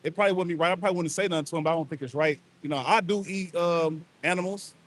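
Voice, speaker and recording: Quick, hesitant man from Ohio. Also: on the high side. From Ohio, United States. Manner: hesitant, rambling, lots of ums. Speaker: passerby being interviewed. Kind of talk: casual street conversation. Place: outdoors at a beach.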